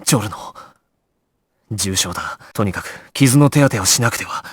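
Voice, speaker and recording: An actor playing a calm, commanding young gangster squad leader in an anime video game, grave and decisive, giving clipped orders. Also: male voice, on the low side, moderate pace, breathy edge, no room sound, studio quality. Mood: grim, concerned.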